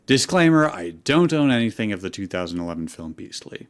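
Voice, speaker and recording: A man's voice: lowish voice, very animated, a little fast. A man co-hosting a show, chatting casually and introducing pieces to the group. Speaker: co-host of a fanfiction reading show.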